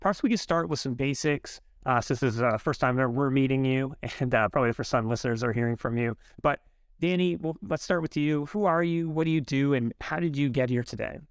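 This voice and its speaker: A man's voice: mid-range voice, brisk, smooth, no echo. A man hosting an interview podcast, asking questions conversationally with frequent fillers. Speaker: podcast host.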